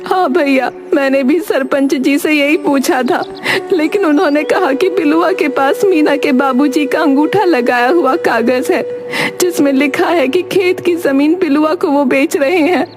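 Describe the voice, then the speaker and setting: A woman playing wronged, poor village women, plaintive and righteous, in an animated moral story. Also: high voice, measured pace, few pauses, over music. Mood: pleading. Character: poor wronged village widow and her daughter.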